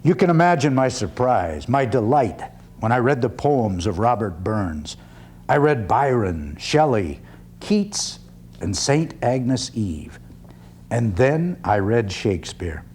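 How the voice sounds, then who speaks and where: A man performing a dramatic one-man stage reading as an earnest, skeptical 19th-century orator, with deliberate, emphatic phrasing and pauses. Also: mid-range voice, very animated, darkish tone, breathy edge, full character voice. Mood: delighted.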